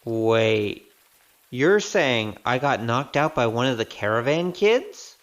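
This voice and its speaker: A man's voice: mid-range voice, sing-song, slow pace, a bit bright. A man playing a breezy, chatty wandering rogue with a wry streak in an audio drama. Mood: groggy, sardonic.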